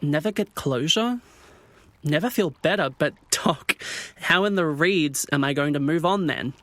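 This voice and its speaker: A man's voice: fairly high pitch, a little slow, fairly bright tone, no room sound. A man voicing an insecure, self-deprecating swan in an audio drama, hesitant and awkward in speech. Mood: upset.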